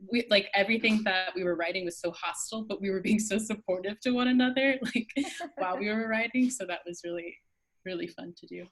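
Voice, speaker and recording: A woman's voice: mid-range voice, brisk, a bit bright. A woman who writes for a theatre group, talking casually. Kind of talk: casual chat.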